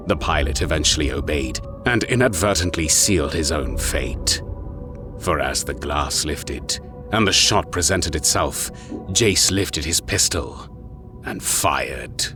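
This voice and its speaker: Deep-voiced man narrating a dramatic story. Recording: music behind the voice.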